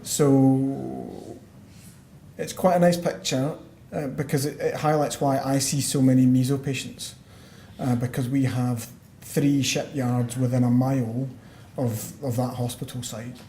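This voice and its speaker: A Scottish man, a lung doctor, giving a measured medical conference talk with frequent fillers and restarts. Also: mid-range voice, level tone, warm tone. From Scotland. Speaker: pulmonologist giving a conference lecture. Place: conference hall. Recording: roomy hall sound, faint background noise.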